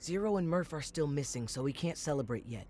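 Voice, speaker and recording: An actor playing a cocky, excitable teenage alien boy captain, boastful and animated, in an animated video game. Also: on the high side, brisk, slight background noise, natural voice. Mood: grave.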